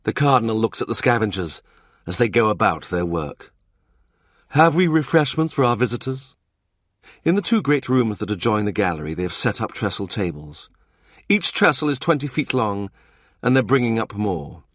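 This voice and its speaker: A man narrates a historical novel in a low, steady voice. His delivery is measured and even, and the recording is dry and close. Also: no echo. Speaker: audiobook narrator.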